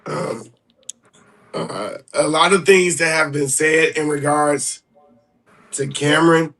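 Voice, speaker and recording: A fairly high-pitched man explains himself very slowly as a livestream guest. His delivery is careful and hesitant, broken up by throat clearing. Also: pushed and bright, rough recording.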